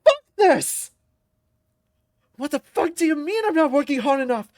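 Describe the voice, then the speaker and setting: A woman's voice: high voice, expressive, a little slow, voice pushed up. A woman voicing a furious young fighter. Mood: raging. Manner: shouting, swearing, strained.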